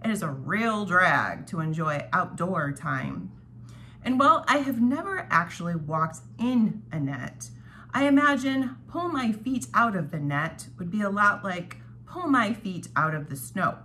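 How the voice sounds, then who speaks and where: A woman's voice: fairly low pitch, very animated, measured pace, bright, forceful tone. A woman hosting a devotional read-along, reading prepared reflections aloud with casual asides, recorded in a dining room at home. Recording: home recording with clear background noise.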